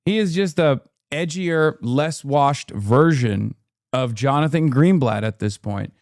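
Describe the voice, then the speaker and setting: A man talking politics on a stream, arguing back at a clip with sarcastic, rapid rebuttals. His voice sits in an ordinary middle range. The sound is close and dry, with no room around it. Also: mid-range voice, lively intonation, darkish tone, no echo. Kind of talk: political commentary, casual.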